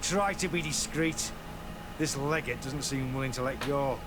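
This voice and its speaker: An actor playing a menacing gang captain in a video game, haughty, sneering and full of cold contempt. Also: male voice, mid-range voice, moderate pace, background noise, natural voice.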